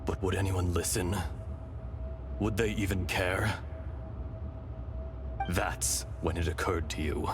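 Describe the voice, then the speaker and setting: An ancient, gentle dragon spirit for a video game. The delivery is grave and unhurried, with deliberate pauses in a deep register. Music plays behind. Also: male voice, fairly even intonation. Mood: grave, accusing. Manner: solemn, measured, deliberate, breathy pauses.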